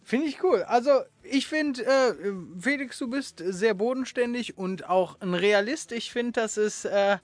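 A man's voice: very high-pitched, measured pace, few pauses, no room sound. Informal male podcast host, dry close sound. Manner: informal, slangy and encouraging. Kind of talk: casual interview chat with quick-fire questions.

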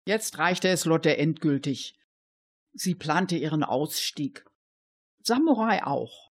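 A woman's voice: mid-range voice, breathy edge. A woman reading scripted narration for a crime story.

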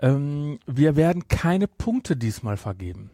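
A man's voice: on the high side, sing-song, unhurried, warm tone, dry recording. Man hosting a podcast, dry close sound. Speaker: podcast host. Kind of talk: casual podcast chat.

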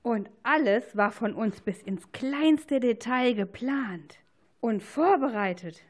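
A woman's voice: a little high, expressive, takes it slowly. A woman playing a cheerful grown-up who reveals a secret in an amateur children's radio play. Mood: pleased, revealing.

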